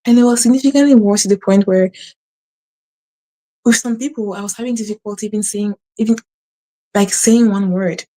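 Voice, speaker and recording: Fast-talking woman with a stutter, soft mellow voice, preaching gentle faith-y advice. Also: mid-range voice, steady tone, rough recording. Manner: fast, earnest, with restarts and a stutter. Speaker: faith-based channel host. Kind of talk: casual spiritual advice to camera.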